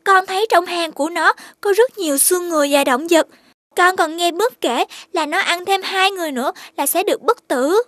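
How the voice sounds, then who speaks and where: A woman voicing a brave, earnest and polite young child in an animated fairy tale. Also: very high-pitched, fairly quick, no room sound. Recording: music under the voice.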